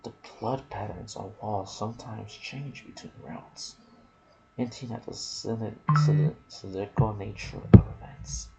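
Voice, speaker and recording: Male ASMR gaming host, soft and intimate delivery slipping into whispers, low gravelly register. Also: measured pace, poor audio. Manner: soft-spoken, sometimes dropping to a whisper. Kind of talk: ASMR gaming commentary, reading trivia with asides.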